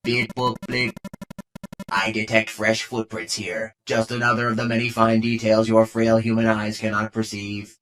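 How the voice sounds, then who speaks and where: A man voicing a precise, dryly boastful robot in a game fan dub, with flat, formal delivery. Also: lowish voice, moderate pace, natural voice, rough recording. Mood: dry, matter-of-fact. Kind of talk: scripted fan dub acting. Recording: music behind the voice.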